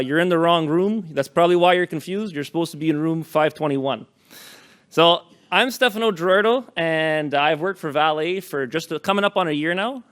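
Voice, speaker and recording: Smooth-voiced man presenting at a conference. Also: fairly high pitch, fairly bright tone. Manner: loose, chatty, many fillers and restarts. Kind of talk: casual conference talk. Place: conference room with live audience. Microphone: handheld or podium microphone.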